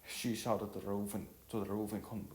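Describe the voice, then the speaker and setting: A man's voice: lowish voice, steady tone, moderate pace, a little mellow. A man narrating a story as an amateur reader, stumbling over phrases now and then and rereading them. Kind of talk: amateur narration of an audiovisual novel. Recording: roomy echo, faint music behind.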